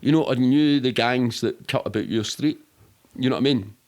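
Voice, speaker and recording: A man's voice: medium pitch, normal speed. A middle-aged man with a Glaswegian accent, telling rambling, sweary, matter-of-fact anecdotes in casual interview chat. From Scotland. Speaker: podcast guest.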